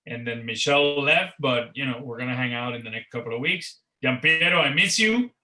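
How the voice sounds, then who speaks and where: Bright-voiced man wrapping up a panel. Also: mid-range voice, brisk. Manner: rambling, repeats words, many "uh" and "you know" fillers. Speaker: organizer of a career network panel. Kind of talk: closing remarks on a video call panel. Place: Miami. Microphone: video call.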